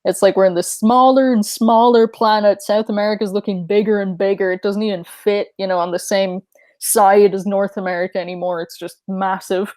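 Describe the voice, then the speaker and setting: A woman's voice: medium pitch, fairly even intonation, brisk, darkish tone, no echo. Musing woman, dry close mic. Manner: musing, speculative, with fillers. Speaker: podcast co-host. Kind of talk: casual conspiracy podcast chat.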